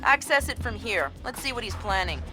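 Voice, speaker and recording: A woman's voice: fairly high pitch, big pitch swings, fairly quick, music in the background. A woman playing a focused intelligence operative in a video game, businesslike and watchful as she advises an agent. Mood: focused, wary. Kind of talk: video game dialogue acting.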